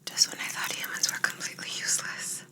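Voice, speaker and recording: A woman voicing a jaded alien abductor in a hushed, breathy ASMR roleplay, giving soft but bossy instructions. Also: fast talker. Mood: suspicious, softening. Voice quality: breathy whisper. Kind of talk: ASMR roleplay, personal attention.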